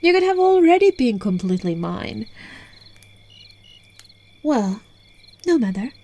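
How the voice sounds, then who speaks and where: A woman's voice: fairly high pitch, sing-song, dark tone, heavily acted. A woman voicing a seductive, teasing, controlling witch in an ASMR roleplay, coaxing the listener, recorded on a phone microphone. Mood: amused, menacing. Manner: slow, coaxing, breaking into whispers and giggles.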